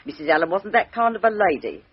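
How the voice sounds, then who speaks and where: An actress playing a gossipy, opinionated chauffeur's wife, chatty and deferential, in a radio drama. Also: female voice, mid-range voice, big pitch swings, quick pace, slightly rough. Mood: emphatic, insistent. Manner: chatty, emphatic, deferential, rambling. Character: gossipy, respectable working-class chauffeur's wife, eager and opinionated. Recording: dull, band-limited radio drama recording.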